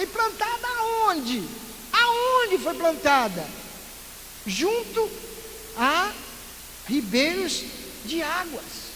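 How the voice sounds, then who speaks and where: High-voiced pastor preaching in an echoey church. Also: male voice. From Recife, northeastern Brazil. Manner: lively preaching full of rhetorical questions and playful asides. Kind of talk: sermon. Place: church sanctuary. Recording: echoey church hall with loud hiss.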